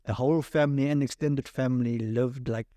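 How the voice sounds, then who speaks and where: Middle-aged man, Southern African accent, mellow mid-pitched voice, dry recording, casual personal storytelling. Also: fairly even intonation, brisk, no echo. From Cape Town and Namibia. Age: 40s or 50s. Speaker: podcast guest, sound engineer.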